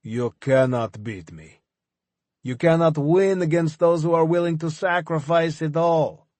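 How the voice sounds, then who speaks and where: A man voicing a taunting, fanatical male arms smuggler, higher and louder than his narration, with slow drawn-out gloating. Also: no room sound, natural voice.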